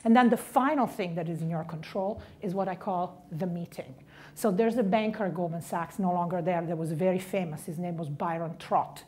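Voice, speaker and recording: A woman's voice: on the low side, fairly quick, mellow. A middle-aged woman from Italy with an Italian accent, giving a direct, punchy motivational career talk. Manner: direct, punchy, stressing key words.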